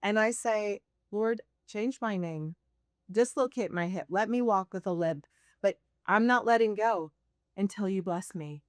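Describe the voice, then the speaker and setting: A woman's voice: medium pitch, a little slow, smooth, no echo, studio quality. A woman speaking emphatically about faith in a casual conversation. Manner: emphatic, impassioned. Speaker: guest.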